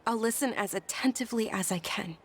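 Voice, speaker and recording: An actress voicing a gentle, polite young noblewoman in a video game, speaking softly and courteously. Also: a little high, fairly quick, slight background noise, close to an everyday voice. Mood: earnest. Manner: soft-spoken and courteous.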